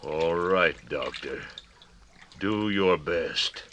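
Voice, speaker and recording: Surly, hot-headed young convict, slow-spoken. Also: male voice, low-pitched, fairly bright tone, slight background noise, exaggerated voice. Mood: angry defiance. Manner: blunt, challenging. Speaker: radio drama actor. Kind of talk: 1940s radio acting. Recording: vintage 1940s radio recording.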